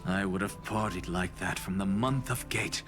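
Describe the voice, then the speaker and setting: A man voicing an arrogant, philosophical professor in a video game, with a theatrical and deliberate delivery. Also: sits low, music in the background, natural voice. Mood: amused, indignant. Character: arrogant, philosophical male scholar, blasphemous professor.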